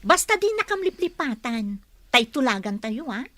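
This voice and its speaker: A brash, money-minded young schemer in an Ilocano radio drama. Her voice is high and forceful, with an Ilocano accent. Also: sing-song, normal speed, dry recording, heavily acted. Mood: sly.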